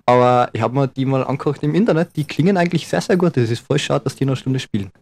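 A man's voice: medium pitch, quick pace, vocal fry, a little mellow. Joking young man radio co-host. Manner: joking, rambling, many fillers. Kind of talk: casual radio chat.

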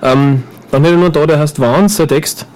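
A man's voice: fairly high pitch, moderate pace, a little mellow. A man with an Upper Austrian accent chatting casually as a guest in a radio studio, rambling and joking with lots of fillers. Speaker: guest performer.

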